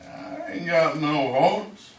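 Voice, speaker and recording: An actor playing a sly, mocking backwoods killer with an Ozark hillbilly accent, drawling and unbothered, in a 1950s Western radio drama. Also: male voice, on the high side, slow pace. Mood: sly, cocky. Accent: American Ozark hillbilly. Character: brazen, sly male backwoods feudist bent on killing. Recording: roomy, distant, hissy old radio recording.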